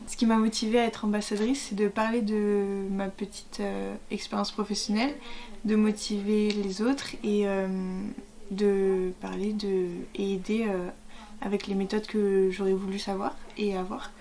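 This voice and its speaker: A twenty-year-old woman, a student ambassador, speaking in a measured way with some hesitations. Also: mid-range voice, little pitch movement, dark tone. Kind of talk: casual testimonial.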